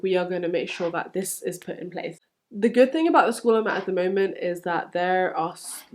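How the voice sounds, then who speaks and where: A young woman with a London English accent talks at a middle pitch. She gives advice in an encouraging, conversational way, like a teacher answering questions on a casual vlog. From London, England. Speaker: supply teacher giving advice as vlog host.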